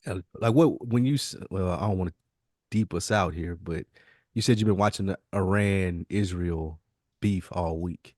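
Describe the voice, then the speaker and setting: A man talking as a podcast host in casual, slangy, profane and animated hip hop conversation. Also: deep voice, normal speed, no room sound, clean studio sound.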